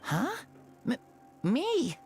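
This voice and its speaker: A woman playing a meek, frail young child in a video game, speaking in a halting, dreamy way. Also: high voice, wildly swooping pitch, darkish tone, full character voice. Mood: dazed. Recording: music under the voice.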